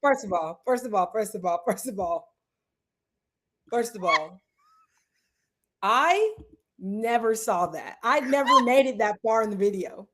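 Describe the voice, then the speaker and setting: A woman co-hosting a live sports talk show, chatting casually and animatedly with quick asides. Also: a little high, expressive, fairly bright tone. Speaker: podcast co-host.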